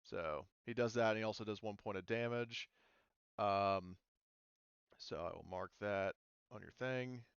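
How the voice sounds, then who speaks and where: Smooth low-voiced man as game master. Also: measured pace, long pauses, dry recording, dull audio. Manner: casual, explaining rules. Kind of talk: running a tabletop roleplaying game.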